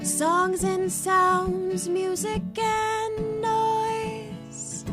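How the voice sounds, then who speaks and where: A woman plays a cheerful little space cowgirl singing a children's song. The voice is very high and slow, carried by loud plucked-string music. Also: completely flat delivery, exaggerated voice. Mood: playful, cheerful. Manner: drawn-out, singsong.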